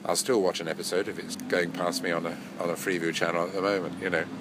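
An older actor and voice-over artist, nasal from a cold, reminiscing in a rambling, self-correcting way during an interview. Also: male voice, low voice, level tone, fast talker, background noise.

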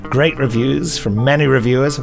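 A man's voice: medium pitch, normal speed, gravelly, music in the background. Easygoing male podcast co-host. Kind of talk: casual chat, reading out fan reviews.

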